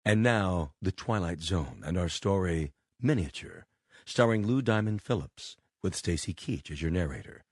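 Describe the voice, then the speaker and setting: Man, scripted drama announcer, deep, husky, dry recording. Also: lively intonation, moderate pace, a little mellow, no room sound.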